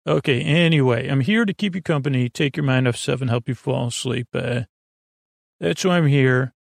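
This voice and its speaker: Gravelly-voiced man rambling sleepily. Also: medium pitch, no room sound, studio quality. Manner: meandering and digressive, drifting off topic; soft, unhurried, self-deprecating. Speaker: podcast host. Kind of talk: rambling bedtime podcast talk.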